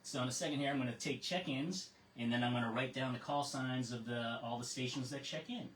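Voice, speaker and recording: A man's voice: mid-range voice, brisk, a bit bright. American man running radio net, roomy sound. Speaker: amateur radio net control operator and host. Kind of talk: reading a net script and chatting with check-ins. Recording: roomy, distant mic with faint noise.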